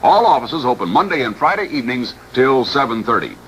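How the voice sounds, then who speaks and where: Bright, lively American radio ad man. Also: mid-range voice, fairly quick, rough recording. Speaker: radio commercial announcer. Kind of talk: scripted 1960s radio advertisement read. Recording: old radio broadcast, faint noise.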